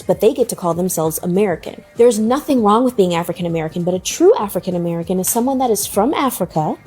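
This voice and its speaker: Husky woman explaining earnestly. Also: medium pitch, darkish tone. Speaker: interview guest. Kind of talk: interview clip.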